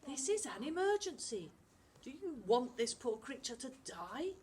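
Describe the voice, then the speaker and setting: A woman's voice: high voice, big pitch swings, measured pace, slight background noise, voice pushed up. A woman voicing a fierce, impatient girl who snaps at others in a raised voice. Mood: angry. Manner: sharp and raised.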